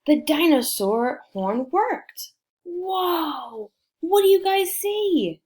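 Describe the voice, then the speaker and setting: A woman reading a picture book aloud to children in an expressive way, prompting her listeners with questions. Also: very high-pitched, drawn-out words. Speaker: storybook reader for children.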